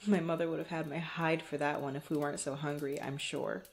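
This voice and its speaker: Woman voicing a fantasy swordswoman, slightly low voice, guarded, teasing, halting banter, ASMR roleplay. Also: flat delivery. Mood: fond, reminiscing. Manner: flustered, bantering, halting, often sighing or chuckling. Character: guarded, teasing young adventurer swordswoman, former street thief. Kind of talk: ASMR roleplay, one-sided fantasy dialogue to the listener.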